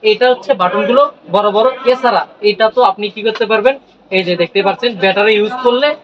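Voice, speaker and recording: A man's voice: high-pitched, a bit bright. A man with a Bangladeshi accent giving an informal product demonstration as a shop presenter, explaining things repetitively. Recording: dull lo-fi sound with faint noise.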